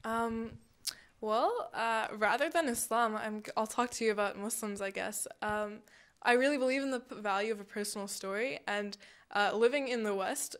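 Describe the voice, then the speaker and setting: A woman's voice: fairly high pitch, normal speed. A woman answering interview questions conversationally, earnest and searching for her words.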